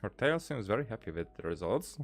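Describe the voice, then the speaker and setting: A woman's voice: rumbling low, big pitch swings, a little fast. A woman doing casual let's play commentary, lightly jokey and reading game dialogue aloud as she goes. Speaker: game streamer.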